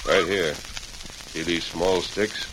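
Deep-voiced heroic cowboy lawman, hissy old radio. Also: steady tone. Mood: grave, resolute. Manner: deliberate, authoritative, soothes his horse. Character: heroic masked cowboy lawman, steady and commanding. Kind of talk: 1940s radio western acting. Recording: noisy, hissing vintage radio recording.

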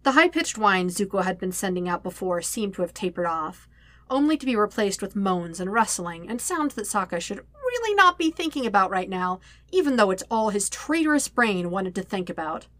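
A woman narrates fiction in an expressive audiobook style. Her voice is bright and mid-pitched, and the sound is very dry, with no room echo. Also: moderate pace. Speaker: podfic reader. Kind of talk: expressive scripted story narration.

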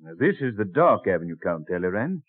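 A dignified, genial colonial gentleman in a vintage radio play. His delivery swings freely in pitch, courteous and earnest, through a thin, narrow old broadcast sound. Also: big pitch swings, fairly quick. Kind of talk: old-time radio drama acting.

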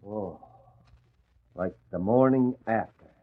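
An actor playing a breezy, slangy young newspaper reporter in an old-time radio drama. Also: male voice, lowish voice, slow. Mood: sheepish, rueful. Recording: muffled old radio recording.

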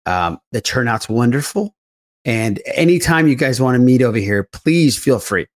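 A man's voice: medium pitch, animated, moderate pace. Man hosting a casual podcast chat. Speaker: podcast host.

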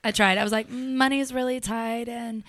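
A woman's voice: fairly high pitch, fairly even intonation, fairly quick, a bit bright, no echo, studio quality. A young woman co-hosting a podcast, telling candid, chatty stories about her plans in a casual chat. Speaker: podcast co-host.

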